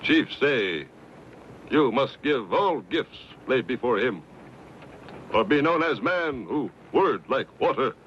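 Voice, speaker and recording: Stern, halting Comanche interpreter. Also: male voice, mid-range voice, animated. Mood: stern accusation. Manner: halting, broken English, solemn pronouncements. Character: stern Comanche interpreter speaking broken English for his chief. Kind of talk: old western radio acting. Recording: dull lo-fi radio recording, faint noise.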